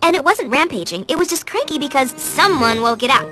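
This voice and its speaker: A woman's voice: high voice, very animated, a little fast, music in the background. A woman voicing an earnest, modest and studious young female unicorn in a cartoon, explaining things carefully. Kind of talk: animated cartoon acting.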